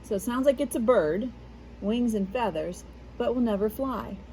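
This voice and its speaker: A woman's voice: fairly high pitch, normal speed, warm tone, a little hiss or hum, low-quality recording. A woman who works as a school media specialist, reading a picture book aloud to children and talking to them in a patient, teacherly way from her back porch outdoors. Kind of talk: children's read-aloud lesson.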